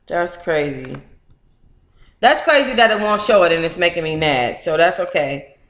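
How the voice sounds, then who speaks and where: A woman's voice: lowish voice, expressive, moderate pace, a bit bright. A middle-aged woman hosting a book club livestream, chatty and candid, with colloquial asides as she talks and reads aloud. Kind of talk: casual livestream chat with reading aloud. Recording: roomy, echoing room sound.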